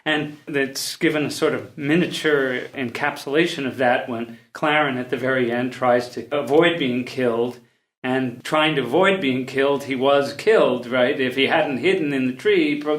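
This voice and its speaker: A man giving casual, conversational literary analysis as part of a panel. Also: mid-range voice. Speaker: podcast discussion panelist. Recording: echoey room.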